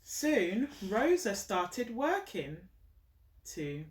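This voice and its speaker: Unhurried woman reading to a child, echoey. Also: fairly high pitch, very animated. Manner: encouraging, teacherly, checking the child understands. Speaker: mother reading a picture book with a child. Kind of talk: children's read-aloud with gentle prompting. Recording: roomy echo, distant mic, faint noise.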